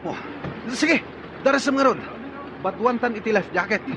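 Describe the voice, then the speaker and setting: A man's voice: sits high, expressive, moderate pace. A man playing a tense, impatient boatman in a radio drama, giving curt orders. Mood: urgent. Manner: curt, commanding. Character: tense, impatient man running a stricken ferry. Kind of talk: Ilocano radio drama acting. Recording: muffled, noisy scene with outdoor clamour.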